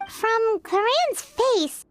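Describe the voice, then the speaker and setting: A woman voicing a tiny, chatty, excitable fairy companion with a squeaky childlike voice in an anime video game. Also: drawn-out words, soft background music, heavily acted. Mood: puzzled, alarmed.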